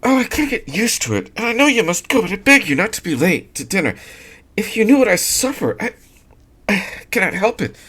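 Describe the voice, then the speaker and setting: A man's voice: high-pitched, sing-song, brisk, bright, forceful tone, breathy edge, pitched above the performer's own voice, exaggerated voice. A man voicing a nervous young woman who pleads anxiously with her husband. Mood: pleading.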